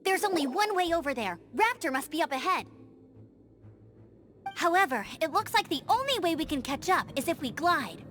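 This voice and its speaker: A peppy young scout, very high. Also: female voice, heavily acted. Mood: eager, upbeat. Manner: energetic, quick to take charge. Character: peppy young female scout, eager and bossy. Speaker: game character. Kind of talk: video game voice acting. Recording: game audio with music behind.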